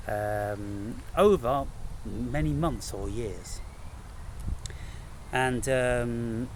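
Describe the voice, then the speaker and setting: Male spiritual vlogger, unhurried and deliberate with emphatic pauses, recorded with noticeable ambient noise. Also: medium pitch, rough recording. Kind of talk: unscripted spiritual monologue to camera.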